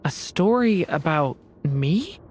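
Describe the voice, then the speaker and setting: A woman voicing a youthful dragonborn boy in a video game, soft-spoken, earnest and a little hesitant. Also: low-pitched, lively intonation, slow pace, faint music behind. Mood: curious.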